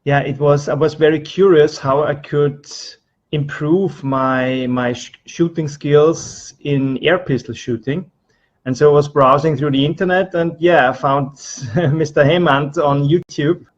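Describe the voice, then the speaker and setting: Slow, hesitant Austrian man, dull sound. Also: a little high, steady tone. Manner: hesitant, repeats words while searching. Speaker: trainee being interviewed, business coach. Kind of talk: interview answers.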